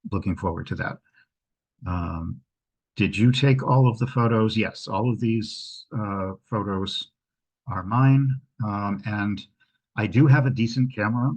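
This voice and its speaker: Low-pitched man with a husky voice. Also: a little slow, darkish tone, rough recording. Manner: hesitant, thinking aloud. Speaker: travel presenter. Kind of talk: informal question-and-answer after a travel slideshow. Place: indoors at home, on a video call. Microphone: video call microphone.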